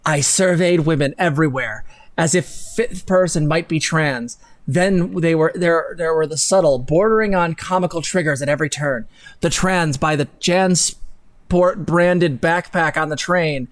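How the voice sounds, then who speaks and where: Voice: mid-range voice, unhurried. A man doing a mock-dramatic reading on a live stream, theatrical and sarcastic, breaking off for casual, sweary asides. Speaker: co-host reading text aloud on a live stream. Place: indoor room at a computer, live stream.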